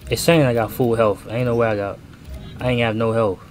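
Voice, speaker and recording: A young American man giving casual let's-play commentary, slangy and expressive, often repeating himself. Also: medium pitch, a little fast, background noise. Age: young adult. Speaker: gaming YouTuber. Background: game audio and music.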